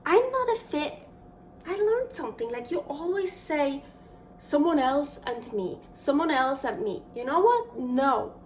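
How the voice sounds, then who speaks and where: A young Swiss woman with a noticeable accent talks through a recipe in her home kitchen. Her voice sits high and her pace is slow, full of fillers and restarts as she thinks aloud. The mic is some distance off, with a faint hum of room noise behind her. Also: sing-song, a little mellow. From Switzerland. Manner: chatty and rambling, many fillers and restarts. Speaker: cooking show host. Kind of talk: casual cooking vlog chat.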